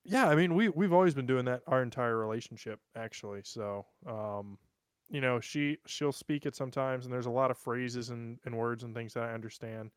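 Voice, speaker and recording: A man's voice: medium pitch, moderate pace, clean tone, dry recording, studio quality. A man chatting and bantering casually as a podcast co-host, with a crude, joking manner.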